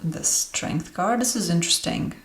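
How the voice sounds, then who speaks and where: A woman's voice: on the low side, normal speed, a bit bright, echoey room. A woman whose first language is Romanian, talking casually through a tarot deck review with many fillers and self-corrections. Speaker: tarot reader. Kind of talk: casual deck review while showing cards.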